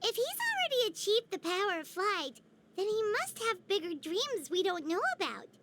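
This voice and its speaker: Squeaky, lively little sidekick creature for a game, chattery and curious, over heavy noise. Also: female voice, exaggerated voice. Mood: puzzled. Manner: chattering, quick questions. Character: tiny floating female companion, excitable and curious. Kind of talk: video game dialogue.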